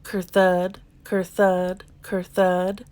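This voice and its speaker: A woman reading a children's storybook aloud in an expressive, deliberate storytelling manner. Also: fairly low pitch, smooth. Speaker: children's book reader. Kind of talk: expressive scripted storybook narration.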